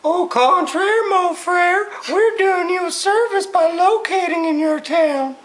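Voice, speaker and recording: A woman's voice: very high voice, takes it slowly, rough recording. A woman playing a pushy, haggling company representative in a scripted amateur puppet show. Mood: businesslike, pushy.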